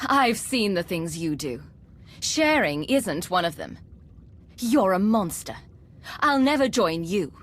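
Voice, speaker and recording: A woman's voice: mid-range voice, sing-song, takes it slowly. A woman playing a cool, resolute, defiant agent in a video game, speaking in a firm, controlled, accusing way. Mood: cold defiance. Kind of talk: video game cutscene acting.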